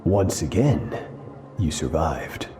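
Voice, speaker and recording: An actor playing a cold, merciless sword master in a video game, giving measured and menacing commands. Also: male voice, a bass voice, expressive, over music, exaggerated voice. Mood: stern, menacing. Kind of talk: dramatic game cutscene acting.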